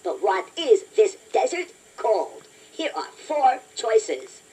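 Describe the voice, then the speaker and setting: A woman voicing a chatty, playful toy robot that hosts a children's quiz, encouraging the listener in a deliberate, self-deprecating way. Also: a little high, very animated, dark tone, husky, full character voice. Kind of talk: scripted children's quiz narration. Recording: noisy toy cassette playback, distant from mic.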